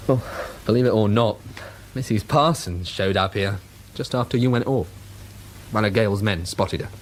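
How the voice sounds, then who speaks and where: An actor playing a soft-hearted, anxious English chip shop man in a radio drama, hesitant and easily rattled. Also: on the low side, normal speed, slight background noise, lightly acted. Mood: harassed, uneasy. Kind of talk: British radio drama acting.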